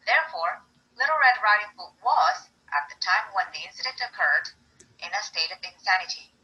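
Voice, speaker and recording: Woman doing a measured male defense lawyer, lowered voice, bright tone, formal. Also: lo-fi sound, slight background noise. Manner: lowered, steady, formal courtroom delivery.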